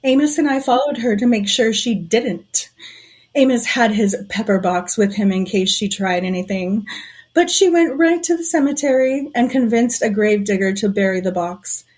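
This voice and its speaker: A woman playing a shrewd, suspicious conspirator in a period audio drama, telling things in a knowing, deliberate way. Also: on the high side, lively intonation, darkish tone.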